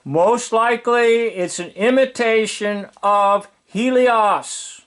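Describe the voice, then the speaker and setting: A man with a noticeably high voice delivers informal commentary at a slow pace. He explains things in a preachy, emphatic way, circling back to repeat key words. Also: fairly bright tone, clear, resonant tone. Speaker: video commentator.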